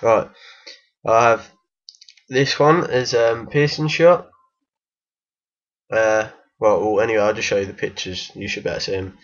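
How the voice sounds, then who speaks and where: Croaky man guiding through a game. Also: lowish voice, steady tone, a little slow, long pauses, muffled recording. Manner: hesitant, many ums and restarts. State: croaky from illness. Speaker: game guide maker. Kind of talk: casual gameplay tutorial voice-over.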